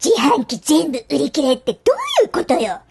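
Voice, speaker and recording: A woman's voice: high-pitched, big pitch swings, a little fast, vocal fry, a little mellow, full character voice. A woman voicing an irritated, brash young businesswoman in a cartoon storybook, grumbling casually.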